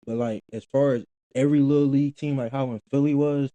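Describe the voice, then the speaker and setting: A man's voice: medium pitch, fairly even intonation, fast, dark tone, no room sound, lo-fi sound. A young man, a former college football player chatting loosely as an interview guest, full of slang and restarts. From Philadelphia, Pennsylvania. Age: 20s. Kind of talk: casual interview talk.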